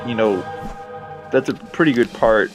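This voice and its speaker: Male game co-commentator, mid pitch, casual and sarcastic, sweary, over prominent game music. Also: a little slow. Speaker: co-commentator on a video game playthrough. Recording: music and game sounds behind. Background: loud game music and effects.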